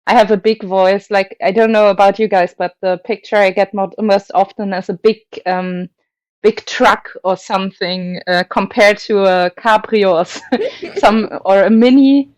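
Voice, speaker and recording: A woman's voice: medium pitch, steady tone, moderate pace, no room sound, highs cut off. Dry-voiced woman, hesitant opera guest. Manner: thoughtful, hesitant, many fillers and restarts, laughs often. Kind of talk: casual interview chat.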